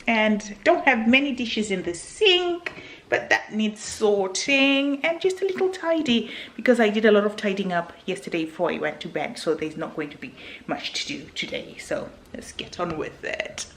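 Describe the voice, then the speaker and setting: A woman's voice: mid-range voice, expressive, normal speed. A woman in her thirties from Zimbabwe with a Zimbabwean accent, chatting casually to camera while she tidies at home. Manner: chatty, laughs easily, talks while tidying. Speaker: vlogger. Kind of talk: casual vlog chat to camera. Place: home kitchen, indoors. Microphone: camera on counter, medium distance. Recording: echoey kitchen with faint noise.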